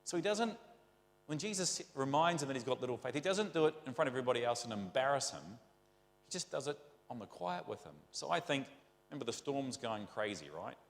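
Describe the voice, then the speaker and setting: A man's voice: medium pitch, animated, a little fast, roomy sound, big-room sound. A middle-aged man with a New Zealand accent preaching a conversational, rambling sermon full of rhetorical questions. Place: church.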